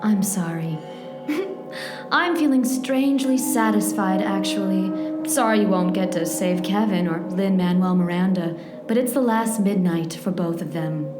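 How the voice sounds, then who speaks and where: A woman plays a sweetly villainous femme fatale in a radio drama. Her mid-pitched voice is seductive and gloating, taking its time over words. Music plays behind the roomy sound. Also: a little slow, lightly acted. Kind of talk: radio play acting.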